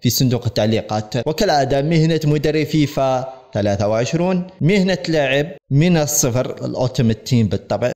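A young man with an Algerian accent, a native speaker of Algerian Arabic, addressing viewers emphatically as a gaming YouTuber in formal Arabic. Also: mid-range voice. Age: young adult. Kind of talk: direct-to-camera vlog in formal Arabic. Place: small room at home set up as a studio.

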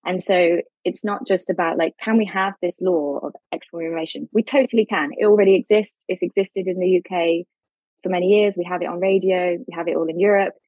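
Husky woman explaining law. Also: lowish voice, steady tone, fast talker, darkish tone, no echo, lo-fi sound. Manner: explains with everyday analogies, many fillers. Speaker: legal academic guest. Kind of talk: podcast discussion, explanatory.

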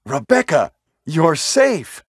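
Slow, husky young hero. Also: male voice, a little high, big pitch swings, no room sound, studio quality, exaggerated voice. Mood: relieved. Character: weary, caring young male police hero. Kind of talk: stilted 1990s video game voice acting.

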